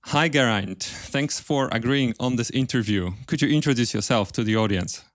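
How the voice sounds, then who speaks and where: A man speaks as a podcast host, moving between scripted presenting and interview questions. His voice sits at a middle pitch, recorded close and dry with very little room sound. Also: normal speed, no room sound.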